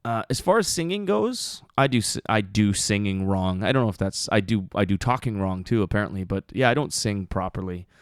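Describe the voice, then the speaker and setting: Male podcast host, low and gravelly, mousy punk voice, rambling casual delivery with lots of fillers. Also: expressive, a little fast, few pauses, no echo, studio quality. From Canada. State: voice still recovering from screaming at a show. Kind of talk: casual chat.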